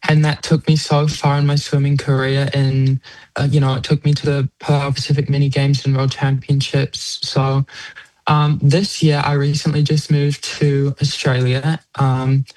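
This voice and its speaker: A late-teen competitive swimmer from New Zealand with a New Zealand accent gives casual interview answers. The pitch stays fairly even and the sound is dry, with little room. The phrasing is thoughtful, dotted with "you know" and "like". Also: normal speed, no echo, rough recording. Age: late teens, young adult.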